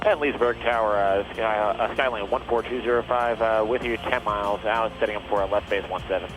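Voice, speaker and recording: A man making pilot radio calls and quick readbacks, faint under engine drone. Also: lowish voice, steady tone, fairly bright tone, faint music behind, low-quality recording.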